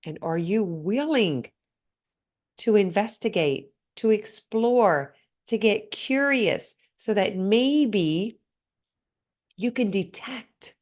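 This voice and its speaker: A woman hosting a motivational podcast on her own, speaking earnestly and often putting questions straight to the listener, her voice a little hoarse and stuffed up. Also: medium pitch. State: slightly hoarse, congested. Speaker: podcast host. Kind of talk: motivational solo talk.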